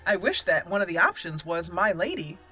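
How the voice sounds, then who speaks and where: A woman's voice: fairly low pitch, big pitch swings, fairly quick, sharp tone, soft background music. A woman hosting a roleplay playthrough, giving casual, chatty commentary and thinking aloud.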